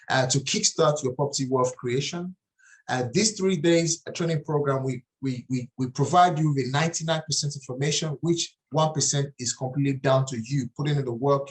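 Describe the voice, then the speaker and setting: A man's voice: mid-range voice, rough recording. Nigerian-accented man presenting a webinar. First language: Nigerian language. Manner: earnest, repeats and restarts phrases. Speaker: property investing trainer.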